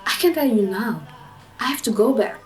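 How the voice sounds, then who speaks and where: A woman's voice: on the high side, lively intonation, moderate pace, close to an everyday voice. A woman acting a guarded young village woman secretly in love, hesitant and earnest, in a radio drama. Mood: reluctant, tender.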